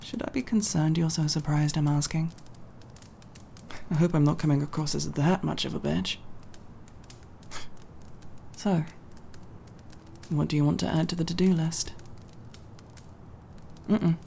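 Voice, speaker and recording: Low, gravelly young tomboy played by a woman. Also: slight background noise. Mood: playful, insistent. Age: young adult. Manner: halting, sincere, casually profane, gently teasing. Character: tomboy friend, young woman, guarded but tender, world-weary. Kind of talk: ASMR roleplay, intimate one-sided dialogue.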